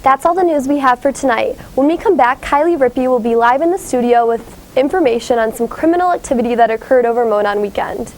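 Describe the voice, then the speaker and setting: A woman's voice: sits high, fast, slight background noise. A woman reading scripted news as a student anchor.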